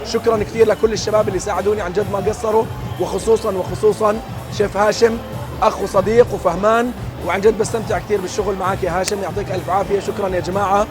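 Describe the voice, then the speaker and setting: A young man hosting a cooking vlog, talking to viewers in a brisk, excitable, joking way. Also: high-pitched. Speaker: cooking show host. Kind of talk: casual on-location cooking vlog narration. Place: busy event venue with a large outdoor cooking area. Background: background music.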